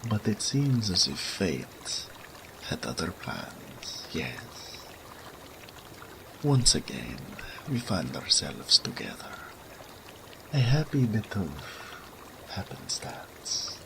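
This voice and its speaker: A man playing a suave, flirtatious naga oracle in a roleplay audio drama, formal and languid, purring and sometimes breathy, speaking of himself in the third person. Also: sits low, exaggerated voice. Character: suave, flirtatious male naga oracle, self-assured and teasing. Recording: steady water and ambience noise.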